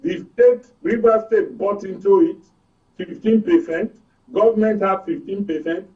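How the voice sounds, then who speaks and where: High-pitched Nigerian man, distant dull sound. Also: unhurried. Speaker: oil and gas expert guest. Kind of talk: explanatory political and economic commentary.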